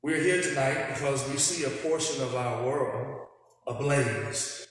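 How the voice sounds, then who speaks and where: A man moderating a panel, giving a formal public address in a solemn, measured, preacher-like way. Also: mid-range voice, fairly even intonation. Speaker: moderator opening a panel discussion. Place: large indoor hall with long reverb. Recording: very echoey hall with faint noise.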